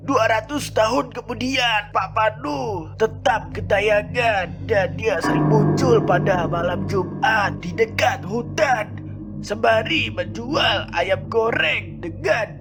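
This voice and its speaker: Man voicing a high-pitched cartoon village storyteller. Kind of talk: scripted cartoon narration and dialogue.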